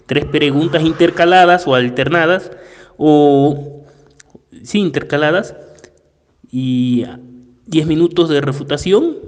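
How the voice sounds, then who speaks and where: A man's voice: mid-range voice, in a large hall. A man moderating a religious debate in a formal, courteous manner, addressing the participants and setting out the rules. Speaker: debate moderator and channel host.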